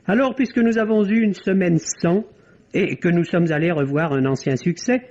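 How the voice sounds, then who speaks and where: High-voiced man, vintage radio critic. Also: moderate pace, a little mellow. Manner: articulate, literary phrasing. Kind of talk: scripted radio review. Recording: dull vintage radio recording.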